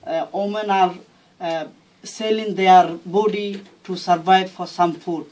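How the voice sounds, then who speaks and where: Halting man from Myanmar, slightly distant mic. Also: high voice, steady tone, darkish tone. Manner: non-native English phrasing, earnest. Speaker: interview guest, founder of a charity. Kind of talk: halting explanatory interview talk. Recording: distant mic, faint background noise.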